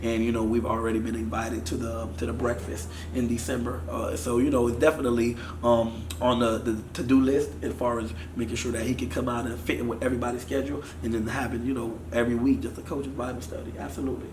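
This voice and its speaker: A man in his thirties from Miami, a football coach talking in a casual interview, animated. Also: medium pitch, quick pace, few pauses. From Miami, Florida. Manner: animated, gestures while talking. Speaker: football offensive coordinator. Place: studio set with sponsor backdrop. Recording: echoey room with faint noise.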